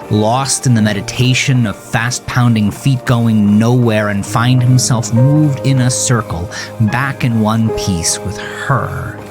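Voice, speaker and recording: A man narrating a scripted horror story in a deliberate, theatrical storytelling style. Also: mid-range voice.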